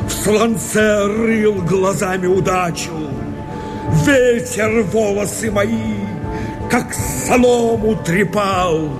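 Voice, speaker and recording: Radio verse drama: frenzied runaway convict, high voice, impassioned theatrical declamation, very slow. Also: male voice. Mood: impassioned, pleading. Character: frenzied escaped convict rebel, desperate and wildly determined. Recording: studio radio play with loud music.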